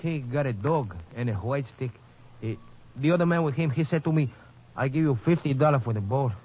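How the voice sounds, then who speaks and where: A man plays an eager-to-please boatman in an old radio drama, his English halting and full of fillers. His voice sits at a middling pitch. The recording sounds dull and lo-fi. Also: expressive, natural voice. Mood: anxious. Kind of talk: 1950s radio drama acting.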